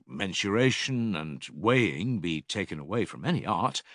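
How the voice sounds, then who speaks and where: An actor playing an older philosopher in an audiobook dialogue, a patient, probing teacher with measured, rhetorical and emphatic delivery. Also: male voice, low voice, lively intonation, a bit bright, no echo, studio quality. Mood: explanatory.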